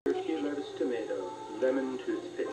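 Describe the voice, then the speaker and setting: A man with a middling voice delivers short scripted lines from a comic TV skit. He sounds echoey and far from the mic, with music clearly audible behind him. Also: mid-range voice, lively intonation, normal speed. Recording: echoey, distant TV audio under music.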